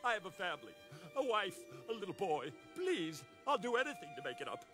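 A man's voice: high-pitched, sing-song, brisk. An actor playing a timid, desperate middle-aged everyman in a cartoon, pleading in panic. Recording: cartoon soundtrack with music.

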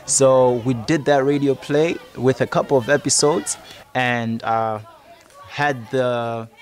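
A man's voice: medium pitch, steady tone, slow. A man in his twenties giving a reflective, explanatory account in a documentary interview as a project facilitator. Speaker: youth theatre project facilitator. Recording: music playing under the voice.

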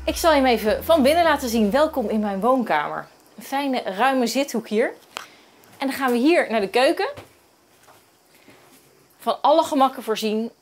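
A woman in her thirties hosts a travel show with bright enthusiasm, pointing things out as she walks along. Her voice sits a little high. Music plays clearly behind her. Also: animated, moderate pace, long pauses. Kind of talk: upbeat travel presenting. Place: outdoors in old town streets and holiday homes. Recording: background music under the voice.

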